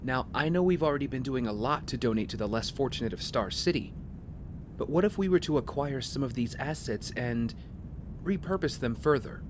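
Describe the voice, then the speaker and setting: An actor playing a wealthy young heir, polite, smooth-talking and guarded, in audio drama dialogue. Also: male voice, mid-range voice, lively intonation, fairly quick, background noise, lightly acted. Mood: earnest, persuasive.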